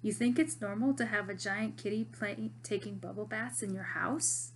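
A woman's voice: medium pitch, moderate pace, a little hiss or hum, natural voice. A woman reading aloud, voicing a worried, skeptical schoolboy who wants facts, in an earnest way.

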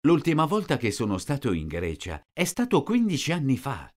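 A man slowly reading out short sentences, each one twice, for a language lesson. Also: medium pitch, wildly swooping pitch, darkish tone. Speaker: language teacher. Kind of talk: slow repeated phrase reading.

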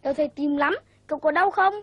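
A woman's voice: high voice, brisk, dull audio, natural voice. A woman voicing an eager, inquisitive young boy, a loyal sidekick in a cartoon. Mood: admiring. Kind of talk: cartoon voice acting. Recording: music behind cartoon dialogue.

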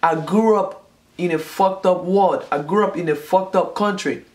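A man in his twenties from Nigeria tells a story straight to a camera in a small room at home. His voice sits a little high for a man. He talks in a lively, gesturing way and hammers his points home by repeating them. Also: normal speed. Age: 20s. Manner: animated storytelling, repeats phrases for emphasis, gestures a lot. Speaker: vlogger. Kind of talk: casual storytime vlog. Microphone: camera in front, close framing.

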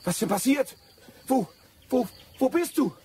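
An actor playing a whining, panicky, cowardly companion in a radio play, with a moaning, stammering delivery. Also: male voice, very high voice, expressive, measured pace, mellow, slightly rough, full character voice. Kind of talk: German radio play acting.

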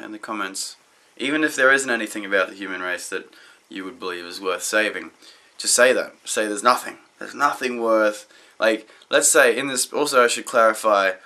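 Low, husky young man, sounding sick. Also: bright, forceful tone. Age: young adult. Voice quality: husky, deep from illness. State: sick, voice gone deep. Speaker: video blogger. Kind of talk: rambling casual vlog to camera. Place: small room indoors.

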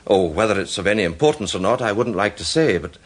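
Radio drama polite, apologetic man, husky, with swooping intonation. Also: fairly low pitch, expressive, fast talker. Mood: earnest, hesitant. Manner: hesitant, apologetic. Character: polite, hesitant, earnest gentleman with a worry. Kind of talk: British radio drama acting.

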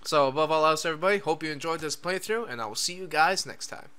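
A man's voice: a little high, fast, sharp tone, clear, resonant tone. A young man giving casual let's play commentary as host of a gaming video. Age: young adult. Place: small room indoors at home. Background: game music.

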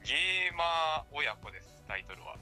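Very bright, forceful male caller. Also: a little high, sing-song, moderate pace, soft background music. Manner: polite. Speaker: radio staff writer pitching a drama. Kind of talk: casual pitch on a radio show. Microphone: telephone call.

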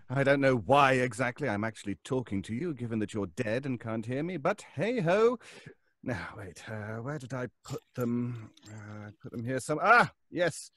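An actor playing a polite, wryly patient lawyer, slyly amused, in an audio horror drama. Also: male voice, medium pitch, animated, a little slow, fairly bright tone, dry recording. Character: smooth, wryly patient lawyer serving a dead boss.

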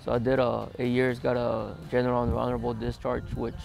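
A man in his twenties, interviewed outdoors in a desert yard, speaking plainly with many hesitant pauses. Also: mid-range voice, monotone, vocal fry, darkish tone, faint music behind. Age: 20s. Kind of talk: documentary interview, recounting his past.

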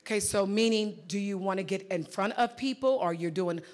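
A woman's voice: medium pitch, brisk. Woman coaching a hall crowd. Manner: lively, direct, coaching the audience. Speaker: workshop facilitator. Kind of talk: live seminar coaching. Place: large conference hall stage. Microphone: handheld mic.

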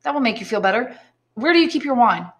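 A woman's voice: on the high side, lively intonation, quick pace, a bit bright, pitched above the performer's own voice. A woman voicing an eager, earnest young sailor son in an audiobook, speaking emphatically. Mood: insistent. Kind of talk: audiobook character dialogue.

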